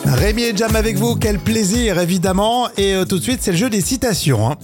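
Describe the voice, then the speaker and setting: A man hosts a relaxed studio radio show, running quiz games and chatting with his co-host. His voice is a touch high and very animated, rising and falling as he talks and laughs. Soft music plays faintly behind him. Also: a little fast. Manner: lively, laughing often, chatty.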